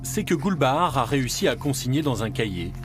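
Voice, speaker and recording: French male narrator, expressive delivery over music. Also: medium pitch, brisk. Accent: French from France. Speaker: documentary narrator. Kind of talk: scripted investigative voice-over narration. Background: background music.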